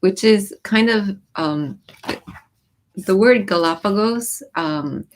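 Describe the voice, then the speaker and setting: A woman's voice: mid-range voice, slow pace, slight background noise. A woman hosting a casual livestream, raised in the US with Japanese as her mother tongue, reading viewer comments and explaining lyrics with frequent ums and restarts. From born in Japan, raised in the US. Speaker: livestream host.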